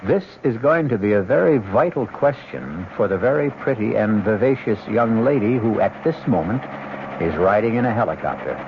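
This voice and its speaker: A man playing a grave, philosophical host, narrating a macabre radio drama in a deliberate, portentous manner. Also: on the low side, expressive, a little hiss or hum. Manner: deliberate, portentous, rhetorical questioning. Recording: dull 1970s radio recording, music behind.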